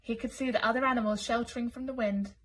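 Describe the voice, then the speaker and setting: Female school storyteller, higher-pitched, expressive and deliberate picture-book narration for young children. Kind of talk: children's story read-aloud.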